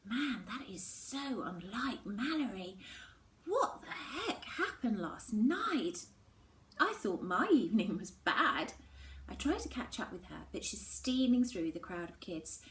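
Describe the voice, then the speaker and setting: A woman's voice: mid-range voice, big pitch swings, slow, fairly bright tone. Woman teacher reading aloud in an echoey room. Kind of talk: expressive children's storybook narration with casual greetings. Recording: echoey room, faint background noise.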